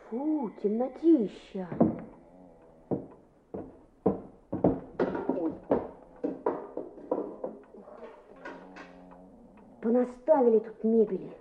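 An actress playing a lively, boastful small schoolboy in a radio play, muttering and exclaiming expressively to himself. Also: female voice, high voice, lo-fi sound, a little hiss or hum. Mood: grumbling, busy. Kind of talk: Soviet-style radio play acting.

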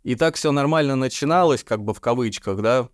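Male baritone podcast guest, mid-pitched, rambling slangy storyteller, dry booth sound. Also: fairly quick, no room sound. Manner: slangy, swearing storyteller, laughs often. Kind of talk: casual chat.

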